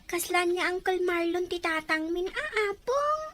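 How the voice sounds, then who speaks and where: Woman acting very high-pitched earnest child. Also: animated, measured pace, fairly bright tone, a little hiss or hum, heavily acted. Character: earnest, studious young grandchild. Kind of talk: Ilocano audio drama acting.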